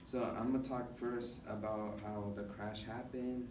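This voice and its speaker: A young man from the United States giving an informal student presentation in a classroom, speaking haltingly with many filled pauses. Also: medium pitch, level tone. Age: young adult. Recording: roomy, noisy classroom recording from a distance.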